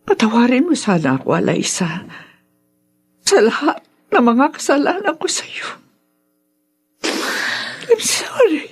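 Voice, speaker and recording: Husky, pleading older mother. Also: sits high, huge pitch swings, takes it slowly, long pauses, soft background music, heavily acted. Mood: remorseful. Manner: pleading, emotional, tearful. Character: remorseful older mother, possessive, pleading with her child. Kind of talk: Tagalog radio drama acting.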